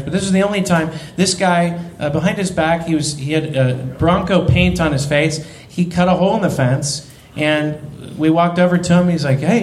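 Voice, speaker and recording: A man telling a long, animated story on a casual podcast, laughing and filling with uh and you know. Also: on the high side, roomy sound, slight background noise. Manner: animated storyteller, laughs often, many fillers. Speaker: podcast co-host.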